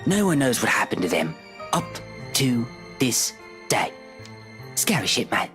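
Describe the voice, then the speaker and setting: A man voicing an excitable schoolkid who swaps scary rumours in a video game, insistent and slangy. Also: mid-range voice, unhurried, soft background music. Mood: ominous, excited. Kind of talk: animated game dialogue.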